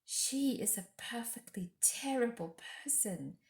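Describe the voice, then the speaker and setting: A woman's voice: a little high, animated, a little slow, darkish tone, pitched above the performer's own voice. A woman voicing an earnest, trusting young girl in a storybook, full of eager wonder. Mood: hushed, grave. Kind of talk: storybook character reading. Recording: echoey room.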